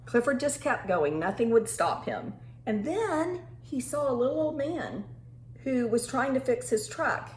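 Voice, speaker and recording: A woman reading a picture book aloud to young children in an animated, teacherly way, pausing to ask simple questions and stretching words for emphasis. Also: fairly high pitch. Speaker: children's storytime reader and teacher. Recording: roomy echo with faint background noise.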